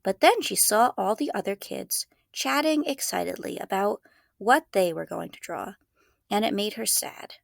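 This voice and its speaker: A woman, a librarian, reading a children's storybook aloud in an expressive storytelling style. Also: mid-range voice, normal speed, vocal fry, dry recording, clean studio sound.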